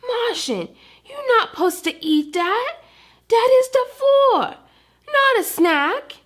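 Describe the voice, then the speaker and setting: A woman's voice: very high voice, sing-song, takes it slowly, a bit bright, pitched above the performer's own voice, full character voice. A woman voicing a mischievous, earnest little boy puppy with babyish grammar in a children's storybook. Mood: alarmed, pleading. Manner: babyish grammar, says dat for that. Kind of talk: read-aloud children's storybook character.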